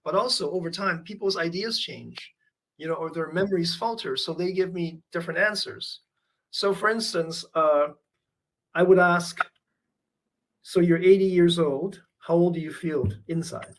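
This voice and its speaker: Soft-spoken reflective man, high-pitched. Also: moderate pace, slight background noise, low-quality recording. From Canada. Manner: thoughtful, reflective, hesitant with fillers and restarts. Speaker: artist interviewee. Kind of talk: conversational interview.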